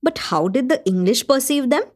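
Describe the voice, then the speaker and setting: An actress playing the gracious Indian hostess of a literary gathering, presenting in an engaged, articulate scripted manner for a radio play. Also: female voice, high-pitched, animated, normal speed. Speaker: host.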